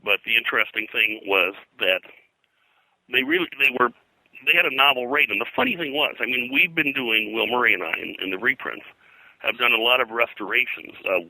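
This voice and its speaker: A man's voice: medium pitch, a little fast, vocal fry, bright, forceful tone, low-quality recording. An American man speaking as an interview guest, a pop culture historian telling detailed anecdotes in a rambling, digressive way.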